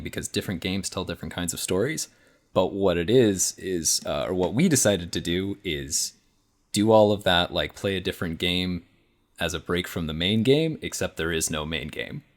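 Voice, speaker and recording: Low-voiced man, rambling casually. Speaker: podcast guest. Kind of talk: casual chat.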